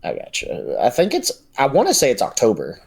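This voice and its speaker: A man's voice: mid-range voice, huge pitch swings, a little fast, vocal fry, slightly rough. An American man co-hosting a podcast, giving his opinions in casual pop-culture conversation. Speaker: podcast co-host.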